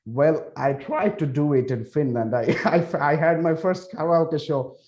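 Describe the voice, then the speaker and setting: A man's voice: fairly high pitch, a little slow, a little mellow. A man hosting a podcast interview in casual, chatty talk, often repeating himself. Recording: roomy, echoing sound.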